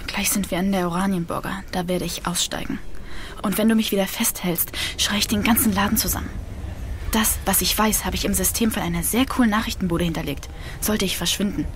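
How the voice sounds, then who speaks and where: An actress playing a defiant, bitter young woman in an audio drama, curt and sardonic, often hushed. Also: mid-range voice, steady tone, fairly quick, natural voice. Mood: defiant, scornful. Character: defiant, bitter young woman confronting her mother's lover. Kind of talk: German audio drama acting. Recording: clear background noise.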